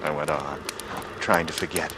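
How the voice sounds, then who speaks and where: A man's voice: very deep voice, fairly even intonation, moderate pace. An actor playing a brooding, bitter man with murder hidden behind a casual front, in a 1940s radio drama. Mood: brooding, haunted. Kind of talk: 1940s radio drama acting, first-person narration and dialogue. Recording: noisy old radio broadcast recording.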